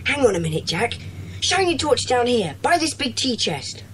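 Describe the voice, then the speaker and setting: Casting: well-spoken British boy leader, animated, high-pitched, confident and earnest, for a children's audiobook drama. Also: normal speed, a little hiss or hum. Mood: intrigued. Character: confident schoolboy leader of a secret society.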